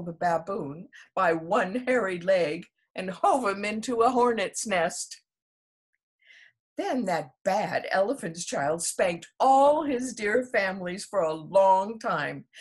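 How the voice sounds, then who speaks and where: A woman storyteller reading a children's story aloud expressively, in a husky voice. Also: medium pitch.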